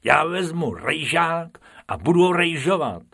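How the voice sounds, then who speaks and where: A man reading aloud puts on a cheeky rabbit who leads his friend around. He sounds eager and bossy, pitched above the reader's usual voice. The sound is dry and close. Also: sing-song, vocal fry, sharp tone, slightly rough, dry recording, heavily acted.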